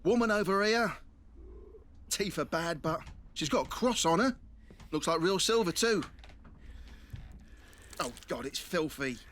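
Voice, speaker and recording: An actor playing an uneasy, deferential looter's underling who talks hesitantly in a horror audio drama. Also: male voice, sits high, lively intonation, a little slow, long pauses, fairly bright tone, a little hiss or hum. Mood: uneasy, morbid.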